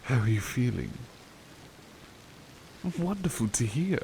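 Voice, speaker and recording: A man playing a devoted, polite butler in an ASMR roleplay, speaking softly and hesitantly with courteous formality, often slipping toward a whisper. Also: mid-range voice, very animated, slow pace, vocal fry, slight background noise, heavily acted, poor audio. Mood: courteous, warm. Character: devoted, affectionate, polite male butler comforting his companion. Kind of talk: ASMR roleplay, intimate personal attention.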